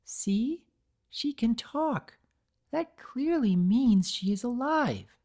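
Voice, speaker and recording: A woman voicing a gentle cartoon pegasus, protective and assertive, with an earnest, soft but firm manner. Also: a little high, animated, slow pace, pitched above the performer's own voice, full character voice. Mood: tender, earnest.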